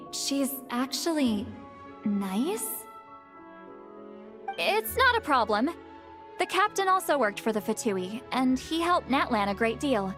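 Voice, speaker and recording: A woman's voice: a little high, a bit bright. An actress playing a proud, easily flustered elderly shaman in a video game, muttering theatrically in exasperation.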